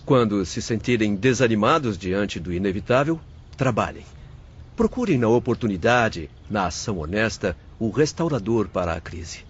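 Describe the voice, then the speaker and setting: A man's voice: fairly low pitch, expressive, moderate pace, lo-fi sound, slight background noise, natural voice. A man playing a kindly, encouraging young spirit doctor in an audiobook drama, exhorting in formal speech. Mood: gently encouraging.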